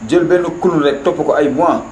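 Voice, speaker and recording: A man's voice: on the high side, normal speed, a little mellow, lightly acted. A man voicing an opinionated know-it-all in a cartoon, matter-of-fact and self-assured, with a Senegalese accent. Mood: smug. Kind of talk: animated cartoon dialogue. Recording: roomy echo, faint background noise.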